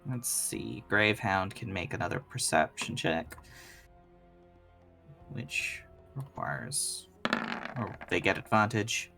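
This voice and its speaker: Game master for an actual-play tabletop show: unhurried, informal and halting, over faint music. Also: low voice, animated, gravelly, bright, forceful tone. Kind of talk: casual tabletop game narration and rulings.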